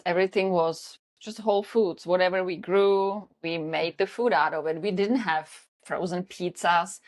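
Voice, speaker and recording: A woman in her forties from the Czech Republic with a Czech accent, an earnest health coach telling her story in a casual podcast interview. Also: on the low side. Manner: earnest, storytelling, slightly non-native grammar.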